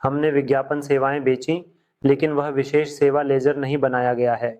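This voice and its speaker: A man teaching accounting software step by step, repeating each key point as he goes. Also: mid-range voice, flat delivery, moderate pace. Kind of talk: screen-recorded software lesson.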